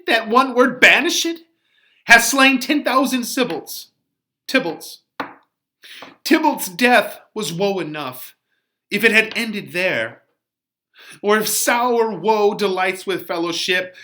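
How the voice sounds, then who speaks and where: A man performing a distraught, passionate teenage bride in a melodramatic, drawn-out Shakespeare reading. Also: high-pitched, pushed and bright, pitched above the performer's own voice, full character voice.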